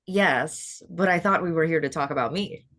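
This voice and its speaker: A woman's voice: sits low, moderate pace, fairly bright tone. Woman as a jealous, vain young beauty queen. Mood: vain, polite. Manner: seething, falsely sweet. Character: resentful, vain twenty-five-year-old beauty queen, murderously jealous. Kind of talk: comedy-horror script table read.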